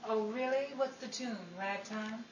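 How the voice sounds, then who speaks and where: A woman playing a coy, sharp-tongued 1950s nightclub singer in old-time radio style, with teasing, dry comebacks. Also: fairly high pitch, fairly even intonation, measured pace, lightly acted. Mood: wry. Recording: roomy, distant, noisy with background chatter.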